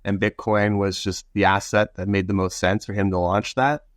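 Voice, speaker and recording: A man from Romania, a guest on a podcast, telling his story in a relaxed way with frequent pauses. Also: on the low side, dry recording, studio quality. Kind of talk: casual podcast talk.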